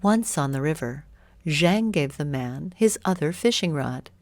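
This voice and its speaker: A woman's voice: sits low, animated, measured pace, no room sound. A woman reading a scripted bedtime story as narrator.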